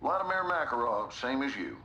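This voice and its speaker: A scheming disgraced general. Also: male voice, mid-range voice, very animated, brisk, pushed and bright, slight background noise. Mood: calculating. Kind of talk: video game cutscene acting. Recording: game cutscene with music bed.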